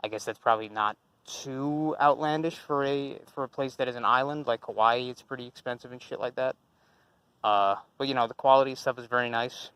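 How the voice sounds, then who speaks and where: A man's voice: mid-range voice, steady tone, a little fast, a bit bright, smooth, no room sound. A young man giving an unscripted commentary response, rambling with many fillers and restarts and swearing casually. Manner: casual and profane. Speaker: channel host.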